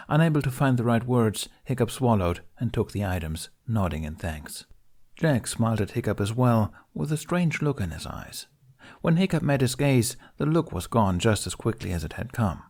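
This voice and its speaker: A man narrates a fantasy tale in a fairly low voice. His reading is expressive and animated, with pitch that rises and falls a lot through the scripted prose. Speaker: fan fiction narrator.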